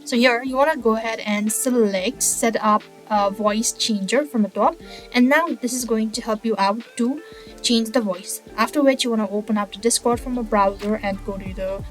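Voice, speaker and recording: A woman hosting a software tutorial, giving step-by-step screencast narration in an instructional way with stock phrasing. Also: a little high. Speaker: tutorial host. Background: background music.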